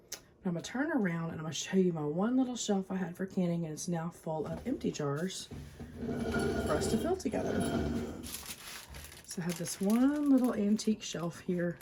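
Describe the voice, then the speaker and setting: A woman with a Southern US accent chatting casually and informally to viewers as a home video host, her voice slightly hoarse and recovering. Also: on the low side, mellow, a little hiss or hum. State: slightly hoarse after a cough and lost voice.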